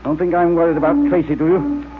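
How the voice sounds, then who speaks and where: A man's voice: a little high, little pitch movement, quick pace, close to an everyday voice. An actor playing a wary, defiant henchman in an old radio crime drama. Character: wary, defiant henchman thief who balks at murder. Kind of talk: 1940s radio acting. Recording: tinny, faintly noisy old radio recording.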